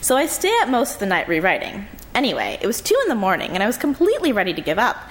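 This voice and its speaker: A woman's voice: mid-range voice, wildly swooping pitch, very fast. A woman reading aloud and voicing a chatty, oblivious twenty-two-year-old woman who rambles breezily.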